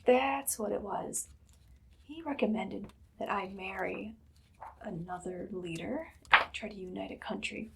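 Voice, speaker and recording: Woman playing a creaky, whispery evil queen. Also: mid-range voice, unhurried, background noise. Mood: menacing amusement. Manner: languid, condescending, often drops into whispers. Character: evil queen, imperious, idly cruel and menacing. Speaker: ASMR performer. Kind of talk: ASMR roleplay, soft-spoken and often whispered.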